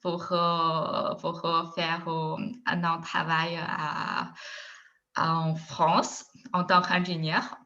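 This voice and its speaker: A woman from China with a Chinese accent, a native Chinese speaker telling her story casually in halting French, searching for words and often giggling. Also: fairly low pitch, monotone, bright, forceful tone, muffled recording. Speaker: interview guest, former engineer turned Chinese teacher.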